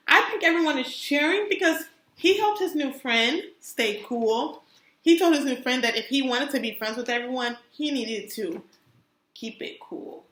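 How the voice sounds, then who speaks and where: A woman with a high, bright voice reads to kindergarteners in a roomy, echoing space. She takes her time, expressive and encouraging, and breaks off to put questions to her young listeners. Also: slight background noise. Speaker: kindergarten teacher. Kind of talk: read-aloud storytelling for young children with questions to the listeners. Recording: roomy, echoey sound.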